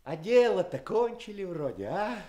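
A man's voice: high-pitched, big pitch swings, moderate pace, dark tone. An actor playing a plain-spoken, good-natured man in a radio drama, musing in a folksy, colloquial way. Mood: amused, puzzled.

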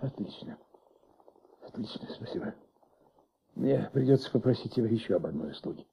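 Gravelly middle-aged commissioner, brooding, commanding, halting speech, old radio drama, muffled recording. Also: male voice, mid-range voice, animated, a little hiss or hum. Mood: coaxing, insistent. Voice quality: gravelly, husky. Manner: halting, repeats phrases while thinking aloud. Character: ailing, brooding police commissioner, male, insistent, commanding, pondering aloud. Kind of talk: Soviet radio play acting.

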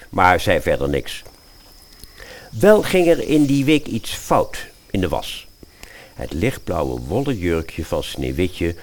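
A man's voice: deep voice, a little mellow. A man narrating a scripted fairy-tale radio play as its storyteller.

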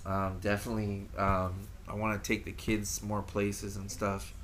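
A man's voice: lowish voice, monotone, moderate pace, fairly bright tone, slight background noise. A man co-hosting a casual podcast, with a nasal voice and a laid-back, rambling way of talking. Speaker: podcast co-host. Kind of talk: casual chat.

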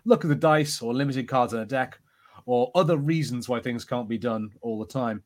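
Male podcast co-host, mid pitch, wry and jokey, informal conversational delivery. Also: normal speed. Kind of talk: casual pop-culture chat.

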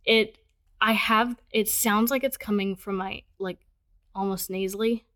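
A woman's voice: medium pitch, fairly even intonation, measured pace, a bit bright. Curious woman hosting a casual chat. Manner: curious, asks questions, trails off. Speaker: podcast host.

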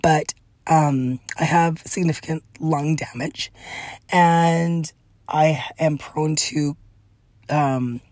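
A middle-aged woman with a breathy, raspy voice, chatting through a solo podcast with lots of fillers and laughs. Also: sits low, steady tone, extremely slow, darkish tone, no echo. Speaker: podcast host. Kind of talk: casual solo podcast monologue.

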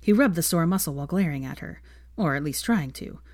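A woman narrates a story in a fairly low voice. The reading is scripted prose storytelling, recorded close and dry with very little room sound. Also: lively intonation, a little fast, a little mellow, no echo. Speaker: fan fiction reader, narrator.

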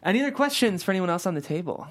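A man's voice: high-pitched, sing-song, very fast, slight background noise. A man asking questions as an interviewer in a casual panel discussion.

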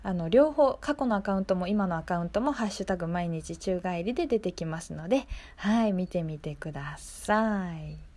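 A woman's voice: fairly low pitch, expressive, moderate pace, mellow. A woman hosting a local radio show in a casual, chatty, conversational way.

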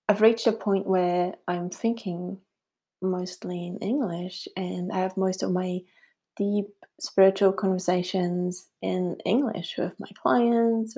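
A woman's voice: fairly low pitch, warm tone. A middle-aged woman from Switzerland with a Swiss German accent, hosting a casual solo podcast in a chatty, upbeat way with frequent fillers. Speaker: podcast host.

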